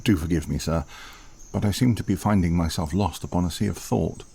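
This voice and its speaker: Man acting a deep, husky, formal old butler. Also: mellow, full character voice. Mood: pensive. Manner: formal, measured, politely dry. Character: dutiful older butler, formal, dryly disapproving, fatherly. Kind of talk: audio drama acting.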